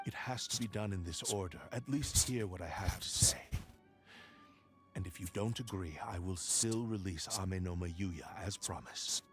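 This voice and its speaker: A man voicing a grave, deep sword spirit. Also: close to an everyday voice. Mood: earnest. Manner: grave, measured and sorrowful, at times whispery. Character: solemn remorseful spirit of a sentient cursed sword. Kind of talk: game voice acting. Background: game music.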